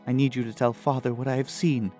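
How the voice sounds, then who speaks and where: A man's voice: medium pitch, level tone, fast, dark tone, faint music behind, close to an everyday voice. A man voicing a frightened young princess in a fantasy audio drama, urgent and breathless.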